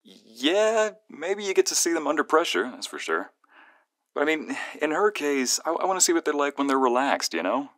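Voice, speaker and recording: A man's voice: a little high, big pitch swings, moderate pace, a bit bright, no room sound, studio quality. A man playing a playful, protective young male friend who is secretly fond of the listener, in a one-sided ASMR roleplay phone call with teasing, earnest banter. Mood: teasing, chatty.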